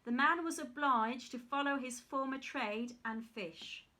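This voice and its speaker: A woman reading a fairy tale aloud in a careful, steady way with small slips. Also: high voice, a little slow. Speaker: reader.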